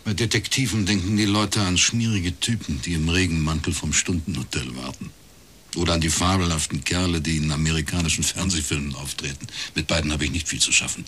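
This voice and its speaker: An actor playing an educated, wry private detective in a German radio crime drama, with a laconic, deadpan delivery. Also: male voice, low voice, a little fast, husky. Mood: laconic, businesslike. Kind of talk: German radio crime drama, first-person narration and dialogue.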